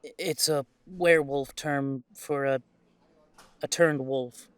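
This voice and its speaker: A man playing an anxious, gentle young male werewolf son in an audio drama, flustered and hesitant. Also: medium pitch, fairly even intonation, slow pace, clean tone, no room sound. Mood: nervous.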